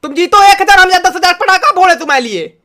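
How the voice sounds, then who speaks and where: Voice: very high-pitched, animated, fast talker, pushed and bright, heavily acted. A performer voicing an exasperated, bossy quiz show host in a comedy cartoon, with sarcastic, theatrical scolding. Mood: irritated scolding. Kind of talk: comic parody of quiz show hosting.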